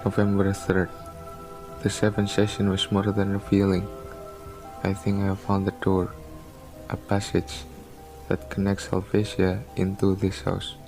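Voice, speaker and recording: A man with a very low, gravelly voice plays a doctor who questions his patient in a coaxing, probing way. Faint music runs under the amateur radio drama. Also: completely flat delivery, a little slow, long pauses, rough recording. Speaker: doctor, researcher.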